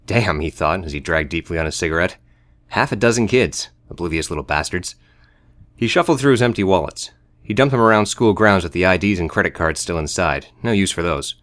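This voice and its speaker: A man's voice: low-pitched, fairly bright tone, dry recording. A man reading scripted audio book narration as the narrator.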